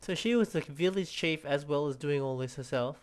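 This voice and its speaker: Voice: medium pitch, normal speed. A game streamer giving brief, wry, casual reactions to a story as it plays.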